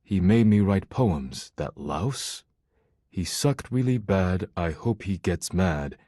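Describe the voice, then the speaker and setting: An actor playing a formal, earnest and proud poetry lover in a video game, speaking in a measured, solemn way. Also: male voice, deep voice, animated, dark tone, no echo, studio quality, full character voice. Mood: dry reciting. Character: formal, earnest, proud male poetry-loving dish character. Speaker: voice actor in a dating simulator game. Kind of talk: scripted video game character dialogue.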